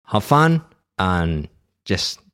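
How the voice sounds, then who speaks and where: A middle-aged man with a Catalan accent, speaking English as a second language in a halting solo monologue with many restarts and fillers. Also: low-pitched, big pitch swings, fairly bright tone, clean tone. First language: Catalan and Spanish. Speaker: podcast host.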